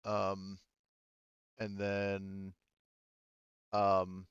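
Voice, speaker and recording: Smooth, low-voiced man running a game. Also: monotone, drawn-out words, no echo, muffled recording. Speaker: game master. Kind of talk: casual tabletop game refereeing.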